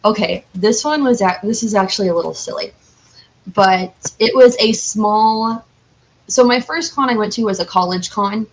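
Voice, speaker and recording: A woman's voice: mid-range voice, normal speed, slight background noise, rough recording. Enthusiastic woman in casual chat. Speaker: podcast guest, cosplayer.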